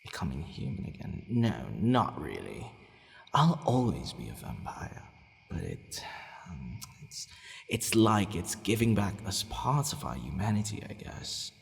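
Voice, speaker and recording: A man playing a centuries-old, courteous but possessive vampire in an ASMR roleplay, deliberate and hesitant, sometimes murmuring almost to a whisper. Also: low-pitched, very animated, gravelly, full character voice. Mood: wistful, hesitant. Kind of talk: ASMR roleplay addressed to the listener. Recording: long reverberant hall echo.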